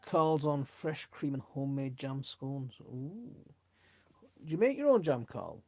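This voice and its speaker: Middle aged yorkshire bloke chatting on a livestream, creaky relaxed voice, chummy and rambly. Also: male voice, mid-range voice, unhurried, dry recording. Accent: Yorkshire English. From South Yorkshire, England. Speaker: host of a gardening live stream. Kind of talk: casual live-stream chat, reading viewer comments.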